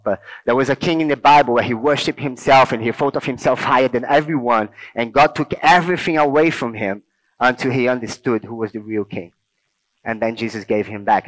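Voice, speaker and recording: A man's voice: medium pitch, low-quality recording. A middle-aged man from Brazil with a Brazilian accent, giving an informal teaching talk to a group and checking in with them often. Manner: conversational, checks in with listeners often. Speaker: speaker at a church talk.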